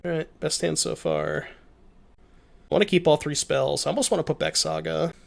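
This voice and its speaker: A man's voice: a little high, steady tone, moderate pace, creaky. A young man with a stuffed-up, worn voice, chatting casually as he streams a card game and talks to his chat. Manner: chatty, thinks aloud, reads chat, many restarts. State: stuffed up, voice a little shot. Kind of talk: casual gameplay commentary.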